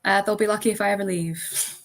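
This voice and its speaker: A woman's voice: mid-range voice, fairly even intonation, a little fast. A woman in her twenties from Edinburgh with a Scottish accent, a student speaking wryly on a video call. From Edinburgh, Scotland. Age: 20s. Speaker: student panelist.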